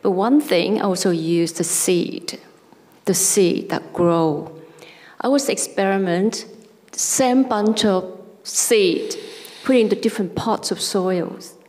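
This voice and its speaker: A woman from Vietnam with a Vietnamese accent, an artist speaking slowly and haltingly about her work at a public forum. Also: mid-range voice. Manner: halting, searching for words, soft and reflective. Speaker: artist panelist. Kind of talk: talk with slides at a public forum. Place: arts centre hall. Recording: long hall reverb.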